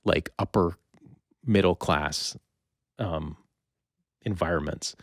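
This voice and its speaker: A middle-aged man hosting a casual podcast interview, speaking haltingly as he searches for words. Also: low-pitched, no room sound, studio quality. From Windsor-Detroit area. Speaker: podcast host interviewing a guest.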